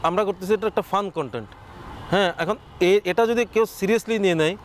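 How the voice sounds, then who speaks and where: A man's voice: high voice, brisk, a little hiss or hum. A young man with a Chattogram accent, a comedy content creator giving casual interview answers. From Chattogram, Bangladesh.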